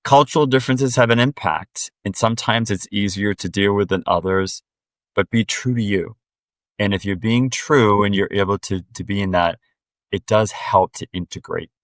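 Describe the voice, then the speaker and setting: A man speaking as a podcast guest in an earnest, direct way, sharing reflections in relaxed conversation and quickening when he presses a point. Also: lowish voice, dry recording.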